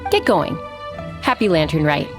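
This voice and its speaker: An animated, earnest innkeeper. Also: female voice, low-pitched, moderate pace. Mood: hesitant, kind. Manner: helpful, polite, expressive. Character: friendly, earnest innkeeper woman explaining local customs. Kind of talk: video game voice acting. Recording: faint game music behind.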